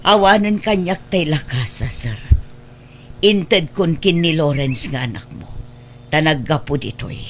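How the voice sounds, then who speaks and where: A woman's voice: low voice, lively intonation, unhurried, slight background noise. A woman playing an anxious, tender mother in an Ilocano audio drama. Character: anxious, tender mother protecting her daughter.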